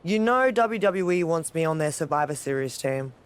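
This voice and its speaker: A woman's voice: sits low, expressive, moderate pace. A woman playing a cocky, rebellious champion wrestler in a video game cutscene, speaking with dry, teasing self-assurance. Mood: dry, confident.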